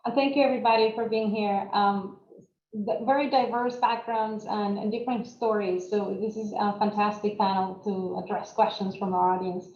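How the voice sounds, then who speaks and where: A woman moderating a panel, brisk and upbeat as she hands over to speakers. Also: mid-range voice, fairly even intonation, darkish tone. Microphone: video call. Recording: dull, roomy video call audio.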